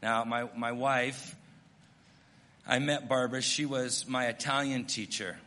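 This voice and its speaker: Middle-aged guy preaching, earnest and self-mocking, bright forceful voice echoing in a big church. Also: mid-range voice, fairly even intonation, unhurried. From United States. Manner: earnest, self-deprecating storytelling. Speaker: missionary pastor. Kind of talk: sermon.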